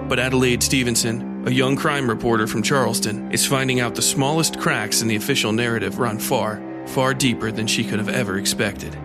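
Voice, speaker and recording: A man reading scripted narration for a dark fiction podcast in a measured, dramatic storytelling style. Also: medium pitch. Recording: music bed behind voice. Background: plucked guitar music.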